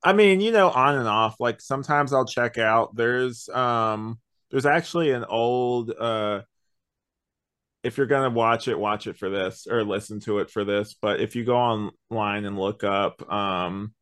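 A man co-hosting a podcast in casual chat, explaining things in a rambling, halting way with many false starts. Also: mid-range voice, a bit bright, clear, resonant tone. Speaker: podcast co-host reviewing an audio drama.

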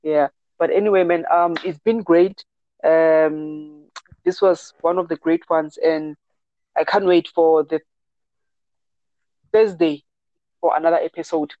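A man's voice: on the high side, fairly even intonation, unhurried, pauses often, no room sound, muffled recording. A man co-hosting a casual MMA podcast over a remote call, easygoing, with frequent "yeah" and "um". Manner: easygoing, says "yeah" and "um" often. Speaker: podcast co-host.

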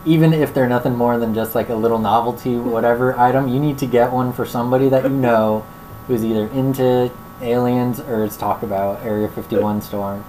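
A woman's voice: very deep voice, steady tone, fairly quick, dark tone, a little hiss or hum, poor audio. A woman from Florida hosting a casual interview, easygoing and enthusiastic, with slangy talk. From Florida, USA. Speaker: interviewer, host.

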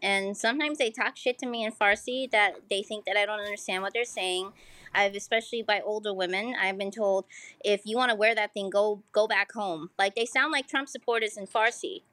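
A woman's voice: medium pitch, brisk, sharp tone, no echo. A woman with an American accent speaking candidly in a casual interview, swearing freely and often saying "like". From United States. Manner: frank, casual, sweary, frequent "like". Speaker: podcast guest, pole dancer.

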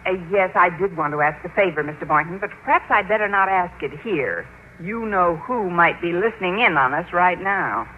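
An American actress playing a wry, quick-witted high school teacher with dry wisecracks in radio sitcom style. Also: female voice, animated, natural voice. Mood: scheming. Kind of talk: 1940s radio sitcom acting. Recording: dull, noisy vintage radio recording.

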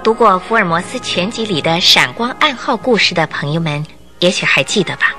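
A woman narrating a scripted radio drama in a deliberate, theatrical storytelling manner. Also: on the low side, animated, pushed and bright, soft background music.

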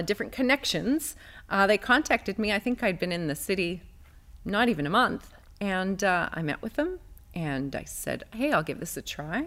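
Female choir director, guest, animated melody, middle pitch, friendly explainer. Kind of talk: interview guest answering questions.

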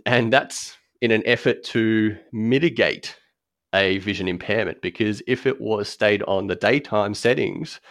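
Low-voiced man explaining accessible design. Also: fairly bright tone, dry recording, clean studio sound. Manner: thoughtful, frequent ums and restarts. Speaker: guest expert on accessible design. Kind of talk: conversational podcast explanation.

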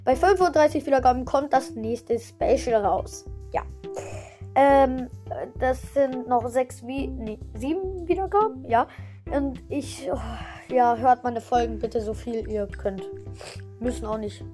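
A woman's voice: sits high, a little slow, dark tone, soft background music. A woman casually hosting her own podcast and interviewing a guest, chatty and often stumbling over her words. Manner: chatty, stumbling, many fillers and restarts. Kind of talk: casual home podcast chat.

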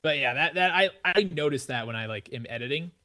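A man from the Midwest hosting a casual podcast, with a nasal voice, rambling and excitable, rising high when he gets worked up. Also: fairly quick. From American Midwest. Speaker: podcast host.

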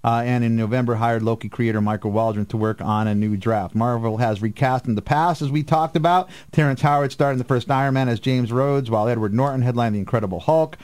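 A man co-hosting a radio show, chatting casually about pop culture with animated, joking exasperation. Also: medium pitch, fast talker, hardly any pauses.

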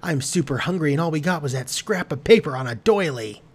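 A man's voice: a little high, lively intonation, fairly quick, breathy edge, pitched above the performer's own voice. A man voicing a brash, blunt, hungry woman who exclaims and complains, as an audiobook character. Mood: indignant.

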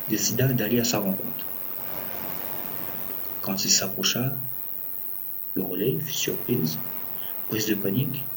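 A man narrating a scripted audio drama as a storyteller, in measured short phrases. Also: fairly low pitch, slight background noise, poor audio. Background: music.

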